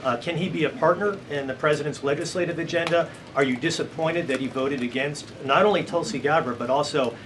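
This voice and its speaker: Higher-pitched man reporter, measured questions. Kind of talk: formal press questioning. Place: indoor press briefing room. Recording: noisy live briefing room.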